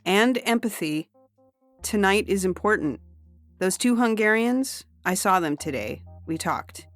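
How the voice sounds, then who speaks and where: A woman's voice: medium pitch, expressive, unhurried, natural voice. A woman playing a brusque, businesslike streamer with a hard, reproachful manner in an audio science fiction story. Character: brusque, businesslike streaming performer woman, scolding her partner. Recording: music bed under the voice. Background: music.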